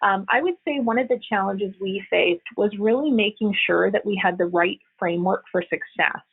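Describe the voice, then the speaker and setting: A woman HR executive answering interview questions in an earnest, explanatory way, often pausing to find her words. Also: mid-range voice, breathy edge. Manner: earnest, explanatory, frequent hesitations. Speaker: HR executive, podcast guest. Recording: phone-like narrow line.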